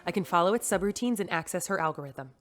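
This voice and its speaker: A woman's voice: on the low side, lively intonation, quick pace, lightly acted. An actress playing an anxious, earnest programmer mother in an audio drama. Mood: worried. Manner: earnest, pleading. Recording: long hall reverb with music.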